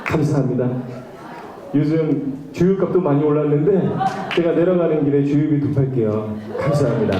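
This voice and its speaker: A man's voice: a little high, normal speed, recorded from a distance, crowd noise, noisy background. A male trot singer chatting and bantering with a large live audience between songs, full of fillers. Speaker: trot singer performing on stage. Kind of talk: stage patter with a live audience. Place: large concert hall stage before about two thousand people. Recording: echoey hall with backing music.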